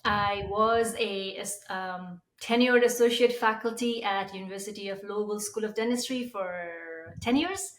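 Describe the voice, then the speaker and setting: A woman's voice: mid-range voice, normal speed, few pauses, fairly bright tone. A woman from Nepal with a Nepali accent, explaining as an expert guest in an interview. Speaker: periodontist guest. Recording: roomy, echoey sound.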